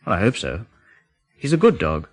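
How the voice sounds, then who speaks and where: A genial lodger and painter in a radio drama. His pitch is extremely animated. He chats expressively, with unease under the good humour. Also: normal speed. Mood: genial, uneasy. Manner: conversational, expressive storytelling. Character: genial, uneasy painter lodging at a remote farm.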